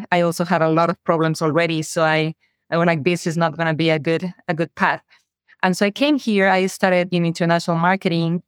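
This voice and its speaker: A woman with a Mexican accent, her first language Spanish, speaking in a somewhat low voice. She recounts her path earnestly and thoughtfully, in a dry, close recording with no room sound. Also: smooth, no echo. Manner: earnest, reflective storytelling. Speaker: guest, revenue operations director. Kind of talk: interview conversation.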